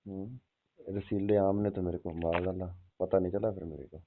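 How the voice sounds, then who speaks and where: A man's voice: deep voice, fairly even intonation, normal speed, slight background noise. A man playing an evasive older father-in-law in a dramatized phone call, stammering and repeating himself as he defends himself. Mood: flirting. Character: evasive older father-in-law dodging blame, pleading and cajoling. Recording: dull lo-fi phone-call audio.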